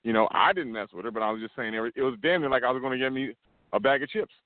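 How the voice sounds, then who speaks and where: A quick, fairly high-voiced man chatting casually, rambling and restarting as he walks. His voice comes through a tinny, phone-like line. The sound is dry and close. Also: no room sound. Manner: rambling storyteller, many restarts. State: walking while talking. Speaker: podcast co-host. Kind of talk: casual chat.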